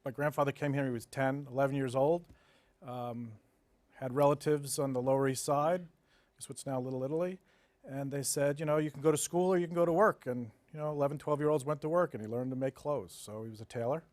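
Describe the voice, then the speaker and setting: A man's voice: medium pitch, fast, darkish tone, dry recording. Matter-of-fact man in his fifties, dry sound. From New Jersey, United States. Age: 50s. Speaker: interview guest, development executive. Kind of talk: conversational interview storytelling.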